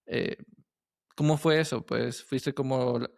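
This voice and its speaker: A man hosting a podcast interview, asking casual questions in halting, tentative phrasing. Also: mid-range voice, steady tone, vocal fry, darkish tone, dry recording, clean studio sound.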